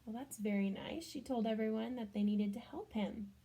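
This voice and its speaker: Lady reading a picture book to little kids, kinda high voice, talks gently right to them. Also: moderate pace, gravelly, husky. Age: adult. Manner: gentle child-directed questions and comments. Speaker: storybook reader for children. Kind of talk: read-aloud storytelling with chatty asides to young listeners.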